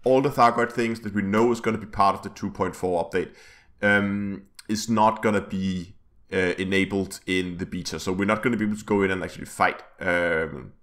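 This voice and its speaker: A man hosting his gaming channel's weekly news vlog, his voice a little rough, rambling with many fillers and restarts. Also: deep voice, steady tone, brisk, fairly bright tone. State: slightly rough voice.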